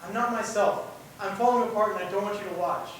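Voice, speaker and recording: A man's voice: steady tone, fairly quick, natural voice. A young man acting an anguished, bitter genius in an amateur stage play, with earnest, emphatic delivery. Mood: resigned, tender. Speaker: student actor. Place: indoor theatre run-through without audience. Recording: echoey hall, distant mic, rumbling noise.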